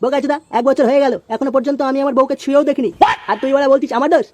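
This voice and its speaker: A high-pitched, very fast cartoon hothead played by an actor, suspicious and cursing. The delivery is aggrieved and confrontational, in comic dub style, over faint background noise. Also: steady tone, close to an everyday voice. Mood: angry, accusing. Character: hot-tempered, suspicious, foul-mouthed cartoon character.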